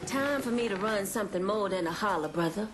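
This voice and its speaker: An actress playing an assertive, ambitious underworld boss in a video game, folksy and cutting. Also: female voice, mid-range voice, lively intonation, a little fast, over music, lightly acted. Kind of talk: dramatic video game dialogue.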